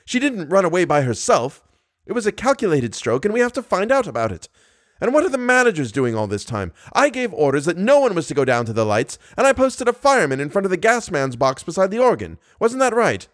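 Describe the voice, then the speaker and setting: A man's voice: fairly high pitch, very animated, fast talker, no echo, studio quality, pitched above the performer's own voice. A man voicing a frantic, harried male stage manager who roars and fumes in exasperation. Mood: roaring. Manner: roaring, exasperated, urgent.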